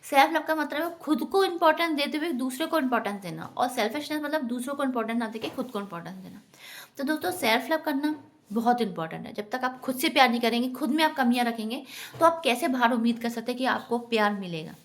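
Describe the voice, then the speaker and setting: Higher-pitched woman giving motivational advice. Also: expressive, fast. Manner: earnest, instructive, often addresses listeners as friends. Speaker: self-help vlogger. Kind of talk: motivational talk to viewers.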